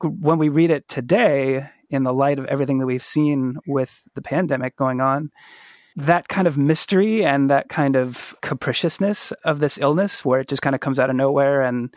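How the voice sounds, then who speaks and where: A man with a mid-pitched voice interviews a guest in a conversational, reflective way. He feels his way through his thoughts, pausing and rewording. The sound is dry and free of room echo. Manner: tentative, pausing and rephrasing as he reflects. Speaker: podcast host, interviewer.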